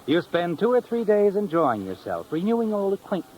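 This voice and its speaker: A man's voice: high voice, wildly swooping pitch, brisk, a little mellow, slight background noise, rough recording. An actor playing a suave, knowing male narrator who needles the listener in a 1950s radio suspense drama. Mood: knowing, suspenseful. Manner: knowing, needling, with tag questions. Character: suave, insinuating male narrator addressing the protagonist as "you". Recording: old radio recording with music.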